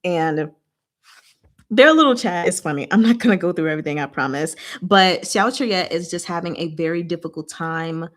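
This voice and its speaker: A woman hosting a casual book discussion, retelling scenes in a giggly, animated, slangy way and acting out the dialogue. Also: fairly low pitch, moderate pace.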